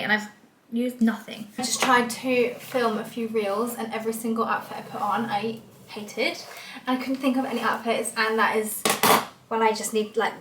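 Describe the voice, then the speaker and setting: A woman's voice: fairly high pitch, a bit bright, a little hiss or hum. Chatty young woman in an echoey room. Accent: English with a light Scottish trace. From Scotland. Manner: bubbly, rambling, with lots of "like" and "um". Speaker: vlogger. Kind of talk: casual chatty vlog. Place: small room indoors. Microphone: camera facing her from a short distance.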